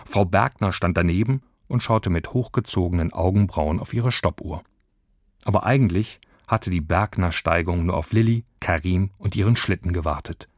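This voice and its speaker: A man's voice: deep voice, moderate pace, darkish tone, no echo, clean studio sound. A man reading scripted narration for a children's story. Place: dry studio.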